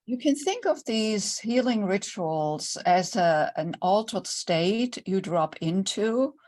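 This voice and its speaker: A woman's voice: medium pitch, expressive, slow pace, a little mellow. An older woman from Germany with a German accent, a guest speaking earnestly and reflectively about her own story in an online interview. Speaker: guest, intimacy coach.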